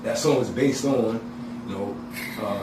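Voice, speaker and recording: A man's voice: medium pitch, unhurried. A man who is a guest recording artist, talking in a loose, rambling interview style with frequent filler tags. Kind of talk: casual interview talk. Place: radio station studio. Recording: echoey room, distant mic, noisy.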